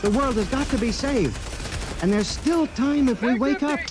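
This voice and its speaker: A man's voice: very high voice, moderate pace. An actor with an American accent playing a pleading, intense, paranoid man in an old-time radio drama. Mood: urgent pleading. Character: frantic paranoid man convinced machines are rebelling. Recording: vintage radio broadcast with music behind.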